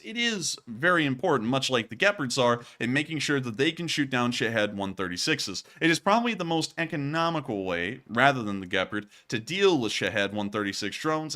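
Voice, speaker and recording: A man hosting a war news show, talking casually over video clips, speaking straight to his viewers and often sounding incredulous. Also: mid-range voice. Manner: animated, talks straight to viewers, incredulous asides.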